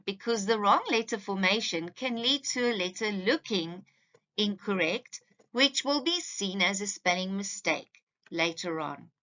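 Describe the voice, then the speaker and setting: A woman's voice: medium pitch, sharp tone, dull audio. A woman teacher giving a scripted presentation to parents in a didactic, carefully enunciated way.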